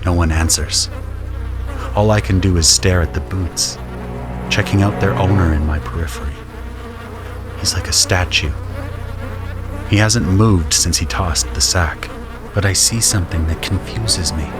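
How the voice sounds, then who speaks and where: A man playing a grim, observant conscript soldier who narrates an audio drama in a measured, solemn way. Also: low-pitched, music in the background. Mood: grim, watchful. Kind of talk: first-person scripted audio drama narration.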